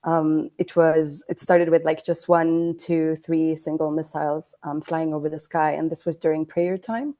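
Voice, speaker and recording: A young woman journalist talks in a measured, thoughtful way, often pausing to find her words. Her voice sits low and warm, with an even, flat melody that rarely leaps. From Iraq, grew up in Sweden. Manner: measured, thoughtful, with frequent ums. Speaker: journalist guest. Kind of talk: casual podcast chat.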